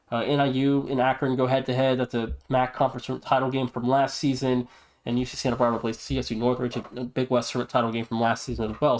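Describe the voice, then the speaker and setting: Emphatic male podcast host, mid-pitched. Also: a little mellow. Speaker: podcast host and league presenter. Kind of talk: casual esports news and commentary.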